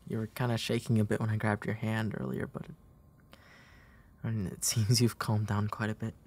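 A man acting a gentle, protective big brother in an intimate comfort roleplay audio, tender and hesitant, with a breathy, soft delivery. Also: fairly low pitch, little pitch movement, a little fast. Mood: gently reassuring. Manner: hesitant, tender, soft-spoken, often dropping into breathy whispers.

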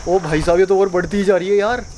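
Young man, upbeat chatty vlogger, slightly high voice, handheld camera outdoors, light wind and insect noise. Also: fast talker. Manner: chatty, excitable, laughs often. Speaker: moto vlogger. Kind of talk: casual vlog chat to camera. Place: outdoors on a windy hillside by a dam. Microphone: action camera held at arm's length.

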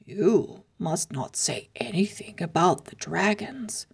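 A woman voicing a patient but firm old male wizard teacher in a children's storybook, measured and sometimes hushed. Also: on the low side, lively intonation, vocal fry, husky, full character voice.

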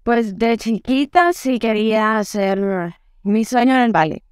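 Smooth-voiced woman in her thirties. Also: a little high, measured pace, no room sound, clean studio sound. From Guatemala. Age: 30s. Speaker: guest, figure skating coach. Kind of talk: interview answers. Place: studio set. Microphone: lapel mic.

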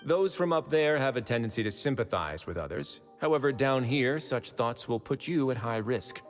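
An actor playing a composed young prison administrator in a video game, speaking in a measured, assured, wry way. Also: male voice, mid-range voice, lively intonation, natural voice. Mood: casual, wry. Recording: long reverb, music underneath.

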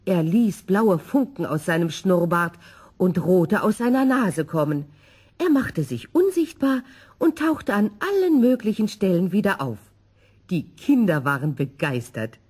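A woman reading a children's story in an expressive, scripted narrating style. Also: lowish voice, unhurried, slightly rough.

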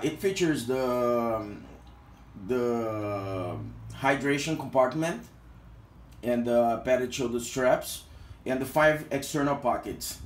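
A Brazilian man with a mid-range voice talks through a gear review, stopping often for fillers and restarts. He sounds hesitant but friendly in a casual way. The small indoor room around him adds a roomy echo. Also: medium pitch. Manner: hesitant, heavy use of fillers. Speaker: product reviewer.

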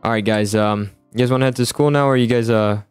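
A man's voice: mid-range voice, fairly even intonation, fast talker, smooth. A young man chatting casually in an improvised game roleplay, rambling and repeating his phrases with stammering starts. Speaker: host of a gaming roleplay. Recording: music bed under the voice. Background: background music.

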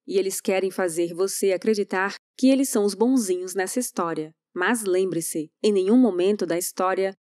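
A woman's voice: mid-range voice, a little mellow, no echo, clean studio sound. A woman narrating a scripted video essay in a polemical, emphatic manner. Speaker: channel narrator.

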